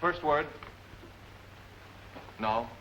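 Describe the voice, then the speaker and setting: A man's voice: fairly high pitch, very slow. A man playing a TV guessing game, eagerly repeating clues and firing out questioning guesses. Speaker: game show contestant. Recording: muffled, slightly noisy old TV broadcast.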